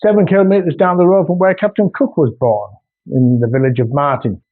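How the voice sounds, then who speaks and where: 60s yorkshire bloke rambling on with lots of ums, sounds tinny like a phone recording. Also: male voice, a little high, steady tone, fairly quick. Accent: North Yorkshire. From Australia, raised in North Yorkshire. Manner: rambling, homely, with many ums and restarts. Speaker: artist. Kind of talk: casual video introduction.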